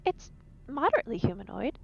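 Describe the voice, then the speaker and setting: A woman's voice: on the high side, very animated, quick pace, creaky. A woman voicing a polite, literal-minded synthetic crew member in a tabletop game, speaking formally and precisely. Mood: polite, curious. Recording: dull audio, faint noise.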